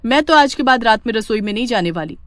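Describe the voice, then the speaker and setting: A woman's voice: a little high, expressive, fast, a bit bright, no echo, studio quality. A woman voicing an assertive, resentful elder daughter-in-law, argumentative and insistent, in a cartoon family drama. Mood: annoyed.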